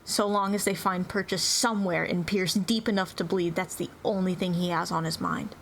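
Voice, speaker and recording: A woman's voice: on the low side, fairly even intonation, moderate pace. A woman reading scripted narration dramatically, with intense, theatrical phrasing. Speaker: player reading own turn in a dramatic roleplay reading. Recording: clearly noisy background.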